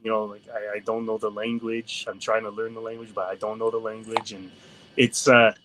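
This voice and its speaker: A man's voice: on the low side, little pitch movement, brisk, background noise. A man who grew up near Toronto, speaking as a podcast guest in a hesitant, thoughtful way, with many fillers. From Toronto area, Canada. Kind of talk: casual conversation.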